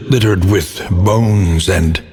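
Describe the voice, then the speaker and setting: A man's voice: deep voice, takes it slowly, warm tone, slight background noise. An actor playing a grave, world-weary older male narrator of a gothic horror game, solemn, ominous and florid in his theatrical delivery. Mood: solemn, resolute. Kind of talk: theatrical scripted dark fantasy narration. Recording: long cavernous reverb.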